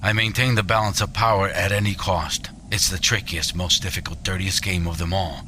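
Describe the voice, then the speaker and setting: An actor playing a ruthless military general in a science fiction audio drama, cold, grave and deliberate in manner. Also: male voice, deep voice, steady tone, bright, forceful tone, slight background noise. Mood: cold resolve.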